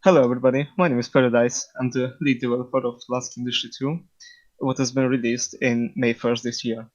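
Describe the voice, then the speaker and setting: A man with a Hungarian accent, a guest developer chatting casually, modest and halting as he searches for words in a second language. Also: mid-range voice, level tone, highs cut off. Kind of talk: casual chat.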